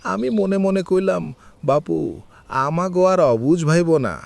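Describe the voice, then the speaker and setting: An actor playing a weary, aggrieved elderly villager in a cartoon, with a rural Bangladeshi Bengali accent and a plaintive, folksy way of complaining. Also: male voice, on the high side, animated, slow, vocal fry, no echo, full character voice. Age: older. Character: weary, aggrieved elderly village man lamenting hard times. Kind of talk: cartoon village drama dialogue. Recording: faint background noise with clicks.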